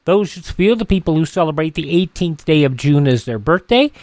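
A man's voice: on the high side, expressive, a little fast, a little mellow, no room sound. Man hosting radio, dry close sound. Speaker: radio show host. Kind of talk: informal radio hosting and announcements.

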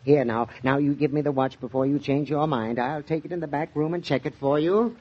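A man's voice: a little high, a little fast, close to an everyday voice. An actor playing an eager, hesitant shop salesman with an American accent and polite sales patter in a 1940s radio drama. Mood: coaxing. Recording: dull lo-fi 1940s radio recording.

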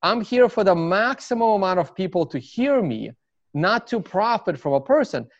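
A man's voice: high-pitched, big pitch swings, moderate pace, no echo. A man from Russia with a Russian accent, a native Russian speaker, talking as an interview guest in a rambling way, full of fillers and restarts. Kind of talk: casual interview talk. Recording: dry, slightly dull sound.

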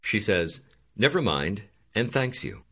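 Deep-voiced male course narrator. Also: takes it slowly. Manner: calm, clear prompting. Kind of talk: scripted instructional narration.

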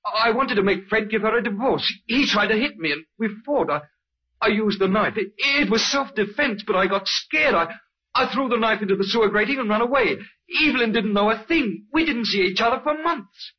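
A man playing an earnest, guilt-ridden young lover in an old radio drama, speaking haltingly but emphatically. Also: high voice, lively intonation, husky. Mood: anguished, confessing. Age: young adult. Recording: muffled old radio recording.

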